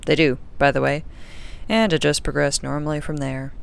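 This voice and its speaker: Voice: medium pitch, flat delivery, normal speed, gravelly, smooth. An actor playing a sardonic, uneasy young crew member recording an audio diary in a casual, rambling, sarcastic way. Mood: wry, grumbling. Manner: wry, sarcastic, informal, rambling. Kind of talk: audio drama diary monologue, casual and conversational.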